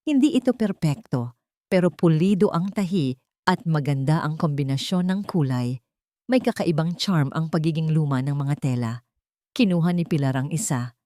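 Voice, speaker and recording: A Filipino woman reads a dramatic story as its narrator. Her voice sits a little low and is very soft-edged and mellow. The sound is dry and close, with no room around it. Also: animated, moderate pace, no room sound.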